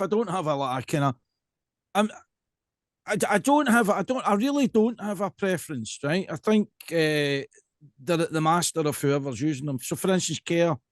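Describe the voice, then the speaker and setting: A man's voice: fairly high pitch, no echo, clean studio sound. A man with a Scottish accent hosting a casual interview, talking in an emphatic, rambling way and often checking in with the listener. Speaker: podcast host.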